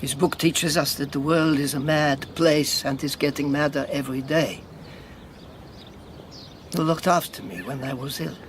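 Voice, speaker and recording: An actor in a radio drama playing a sardonic, battle-worn European refugee with a lame leg, speaking haltingly with mocking formality. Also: male voice, on the high side, a little hiss or hum, lightly acted. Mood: confiding, wry.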